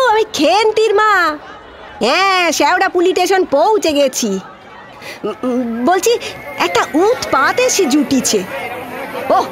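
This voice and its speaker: A very high-pitched older village housemaid played by a woman in an audio drama. She is nosy and bossy, with a rural West Bengali accent. Her exclamations swing widely up and down in a folksy, chatty way.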